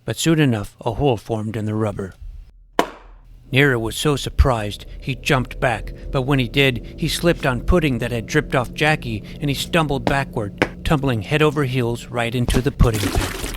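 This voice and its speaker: A man playing an earnest, nerdy schoolboy superhero who narrates his own adventure in a deadpan first-person style. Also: medium pitch, moderate pace, background noise, close to an everyday voice. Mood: earnest narrating. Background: music.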